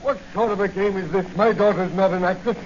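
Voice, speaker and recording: A man's voice: high-pitched, fairly even intonation, a little fast, darkish tone, natural voice. An actor playing an indignant, upright commissioner and worried father in a blustering 1940s radio style. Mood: indignant, alarmed. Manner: blustering, demanding. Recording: muffled, very noisy old radio recording.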